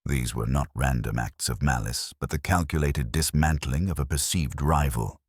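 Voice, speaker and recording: Very deep male mystery narrator. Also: husky, no echo, clean studio sound. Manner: measured, formal storytelling. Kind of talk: scripted mystery audiobook narration.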